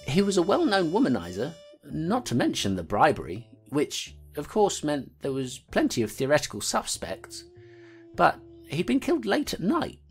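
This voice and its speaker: A sober constable, voiced by a woman recounting a murder case in an audio drama. Her voice is low but moves widely in pitch, and soft music plays underneath. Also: vocal fry, heavily acted. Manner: matter-of-fact storyteller. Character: rattled female constable narrating her case.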